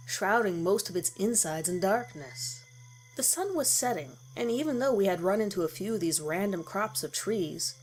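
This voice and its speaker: A woman's voice: mid-range voice, low-quality recording. A woman reading a scripted horror story in the first person as its narrator. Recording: music behind the voice. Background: background music.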